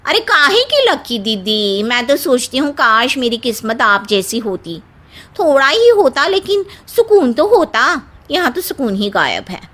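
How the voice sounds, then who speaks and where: A woman's voice: high-pitched, big pitch swings, unhurried, fairly bright tone, heavily acted. A woman voicing a polite, chatty younger married cousin in a family story, speaking deferentially. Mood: wistful, complaining. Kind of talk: dramatised Hindi family storytelling.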